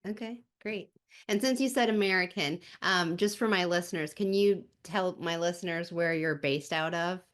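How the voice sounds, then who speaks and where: A woman's voice: on the low side, normal speed. A woman hosting a podcast, asking questions in a friendly, affirming way during a casual interview. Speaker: podcast host.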